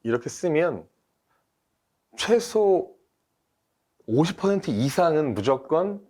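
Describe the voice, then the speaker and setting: A man's voice: a little high, very animated, slow, a little mellow. A man, a design engineer, explaining a drafting workflow step by step in a webinar, with frequent fillers.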